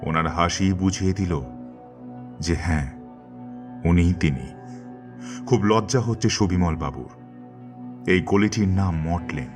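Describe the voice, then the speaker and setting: A man with a very deep voice tells the story in a measured, dramatic way. Clear music plays behind the narration.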